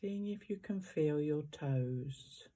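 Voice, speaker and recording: A meditation guide giving gentle, unhurried guided instructions with long pauses between phrases. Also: mid-range voice, expressive.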